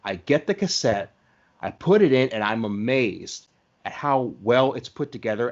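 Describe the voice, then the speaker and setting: A man's voice: medium pitch, muffled recording. A man hosting a podcast in casual conversation, talking like an enthusiastic fan telling stories. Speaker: podcast host. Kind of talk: casual interview chat.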